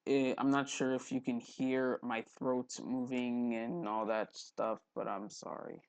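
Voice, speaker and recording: A man hosting a casual, unscripted screen tutorial, haltingly repeating words and muttering to himself, recorded on a headphone mic at his neck. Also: medium pitch, level tone, vocal fry, a little mellow, clear, resonant tone, no echo, lo-fi sound. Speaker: tutorial host. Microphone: headphone mic held at the neck.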